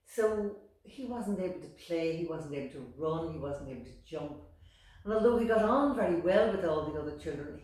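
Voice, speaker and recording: A woman's voice: low voice, a little mellow. Irish woman storyteller in an echoey room. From Ireland. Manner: deliberate, dramatic, short phrases with repetition. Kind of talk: oral storytelling of a folk tale. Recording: very echoey room, distant microphone.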